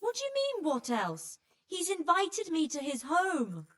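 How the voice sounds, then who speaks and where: An actress playing a weary, blunt healer who tends refugees, with dry exasperation, in a dramatic video game. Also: female voice, very high voice, huge pitch swings, unhurried, a bit bright, exaggerated voice. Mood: indignant, guarded. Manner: exasperated, dry, plain-spoken.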